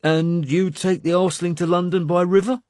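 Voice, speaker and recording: A male narrator plays a deferential warrior in a historical audiobook. He makes his suggestions respectfully in a raised pitch, recorded dry and close. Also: level tone, moderate pace, a little mellow, dry recording, lightly acted. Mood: cautious, suggesting. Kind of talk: audiobook character acting.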